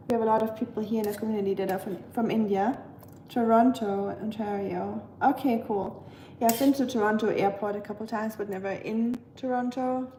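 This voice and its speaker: A young German woman chats with her stream while cooking, her voice a touch higher than most. She rambles in a friendly way with plenty of fillers. The kitchen is echoey, with pots and pans clattering behind her. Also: mellow, a little hiss or hum. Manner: chatty and friendly, lots of fillers, talks while working. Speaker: vlogger livestreaming. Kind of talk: casual chat reading live comments while cooking.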